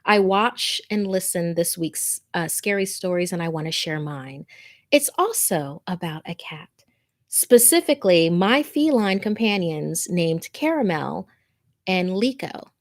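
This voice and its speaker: A woman hosts an informal scary story show in a slightly low voice. She is chatty and relaxed in wording, moving between addressing viewers and reading what they sent in. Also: measured pace. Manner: chatty, informal, easily amused. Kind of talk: casual livestream chat and reading listener messages and stories aloud.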